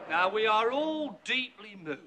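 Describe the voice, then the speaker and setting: An actor playing a cheerful street soup seller who makes a pompous speech with rough grammar and leads a crowd in song in a film musical. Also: male voice, sits high, sing-song, measured pace, dull audio, very noisy. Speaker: street vendor giving a speech and singing. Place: crowded snowy street set.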